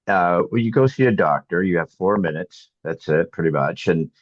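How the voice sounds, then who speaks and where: A man's voice: sits low, expressive, moderate pace, dry recording. A man hosting a podcast interview, talking casually in a slightly dull recording. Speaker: podcast host.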